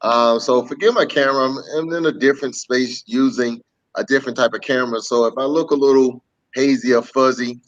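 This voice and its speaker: Male host for a casual online story time. Mid-range voice, repeats phrases, muffled low-fidelity stream sound. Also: steady tone, fairly quick. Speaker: host, pastor. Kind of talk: casual livestream hosting for children's story time. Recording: dull lo-fi webcam stream.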